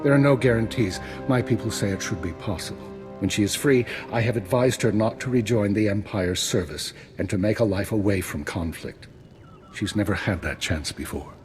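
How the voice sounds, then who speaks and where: An actor playing a shrewd, formal, dryly polite retired spymaster in a science-fiction video game. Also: male voice, lowish voice, moderate pace, a little mellow, soft background music, lightly acted. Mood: calm, unflinching. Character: shrewd, unflappable male retired imperial spymaster. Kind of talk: scripted video game acting.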